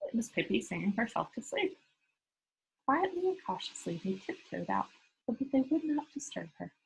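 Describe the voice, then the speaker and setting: A woman's voice: on the high side, gravelly, muffled recording, a little hiss or hum. A woman reading a children's book aloud as a storyteller, talking to young listeners as she goes. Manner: engaging listeners with simple questions.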